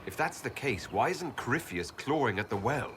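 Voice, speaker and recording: An actor playing a resolute elven leader in a fantasy game, speaking in a firm, measured, earnest way. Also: male voice, mid-range voice, lively intonation, a bit bright, slight background noise, natural voice. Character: resolute young elven male leader, persuasive and challenging. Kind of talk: dramatic fantasy game acting.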